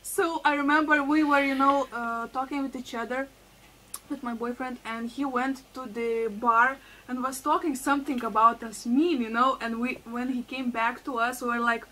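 Giggly high-voiced woman, Lithuanian accent. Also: fairly even intonation, moderate pace, pushed and bright, low-quality recording. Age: young adult. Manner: giggly, laughs often. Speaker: host. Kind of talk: casual chat. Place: room full of plants. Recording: video call with audible background hiss.

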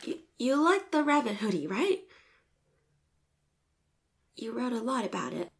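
A woman's voice: a little high, very animated, a little slow, creaky, exaggerated voice. A woman voicing a shy, flustered popular schoolgirl with a crush in a romantic roleplay, sweet but insistent, with bashful stammers and whispered asides. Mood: bashful, hopeful.

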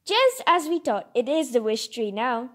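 A woman's voice: sits high, very animated, unhurried, a bit bright, full character voice. A woman voicing a kind, cheerful little boy in a storybook, with an eager, sing-song delivery. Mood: excited.

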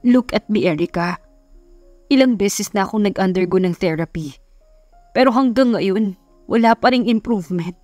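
A woman's voice: mid-range voice, moderate pace, long pauses, darkish tone, no echo, faint music behind, lightly acted. A woman voicing a despairing, short-tempered young husband, curt and serious, in a romance audiobook. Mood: bitter, hopeless. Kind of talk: dramatized audiobook romance reading.

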